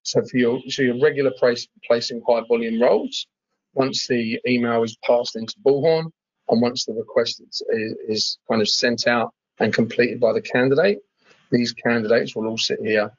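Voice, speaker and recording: A man giving an informal software walkthrough as a webinar presenter, hesitating and repeating words as he explains. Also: mid-range voice, steady tone, normal speed, dry recording, dull audio. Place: screen-share webinar voice-over.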